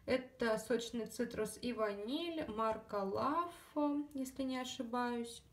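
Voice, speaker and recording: A woman's voice: on the high side, slow pace, far from the mic. A woman vlogger chatting casually about her shopping, full of fillers and asides to the viewers, her throat a little scratchy. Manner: chatty, many fillers, addresses viewers as girls. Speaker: beauty vlogger. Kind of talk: casual haul video chat.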